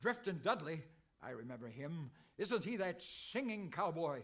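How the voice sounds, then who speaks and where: A man's voice: on the high side, sing-song, moderate pace, fairly bright tone, heavily acted. A man playing an earnest, hapless cowboy hero in a radio comedy, a put-upon and defensive grown son. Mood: irritated. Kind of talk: old-time radio comedy acting.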